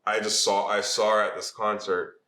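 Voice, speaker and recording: Low, bright-voiced young Jamaican-born man. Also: level tone, normal speed, reverberant. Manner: laid-back, rambling with many "like"s. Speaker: podcast guest, social media influencer. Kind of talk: casual podcast chat.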